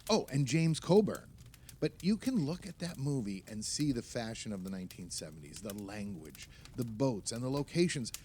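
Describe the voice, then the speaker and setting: An actor playing an opinionated, nostalgic film writer, talking emphatically and at length among friends in a naturalistic audio play. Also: male voice, mid-range voice, big pitch swings, normal speed, mellow, slight background noise, lightly acted. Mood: exasperated, nostalgic.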